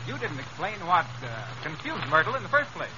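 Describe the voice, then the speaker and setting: A man's voice: high-pitched, sing-song, fairly quick, sharp tone, muffled recording, exaggerated voice. An actor playing an earnest, curious young straight man in an old radio comedy, asking polite questions, on a very noisy old recording. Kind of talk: 1940s radio comedy acting.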